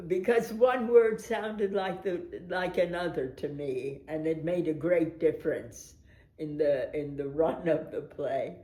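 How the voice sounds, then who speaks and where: An older woman from the States recalls her life on stage at an unhurried pace, lively and quick to laugh. Her voice carries a roomy echo around it. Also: low voice. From United States. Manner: animated storyteller who laughs often. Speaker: veteran stage actress. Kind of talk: reminiscing documentary interview.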